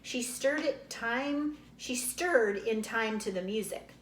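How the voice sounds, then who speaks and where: A woman's voice: a little high, animated, slow. A woman reading a children's book aloud expressively and unhurriedly, like a teacher explaining to young listeners. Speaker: read-aloud storyteller, teacher. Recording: roomy echo, faint background noise.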